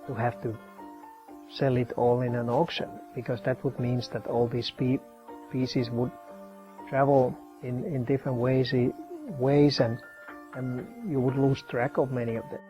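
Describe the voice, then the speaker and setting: Calm guy explaining stuff in his office, medium voice, a little music under it. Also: mid-range voice. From Finland. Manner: calm, explanatory, demonstrating objects as he talks. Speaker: professor and collector. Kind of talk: interview, explaining his collection.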